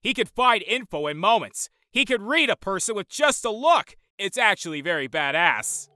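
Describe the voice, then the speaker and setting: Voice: medium pitch, big pitch swings, moderate pace, no echo, studio quality. An actor voicing a brash, stubborn male demon boss in an audio drama, informal and insistent. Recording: loud music under the voice.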